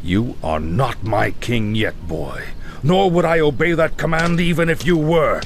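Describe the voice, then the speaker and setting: An actor playing a stern, seasoned older paladin mentor with a commanding, admonishing manner in a video game. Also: male voice, mid-range voice, measured pace, slight background noise, lightly acted. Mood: indignant. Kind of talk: video game cutscene acting.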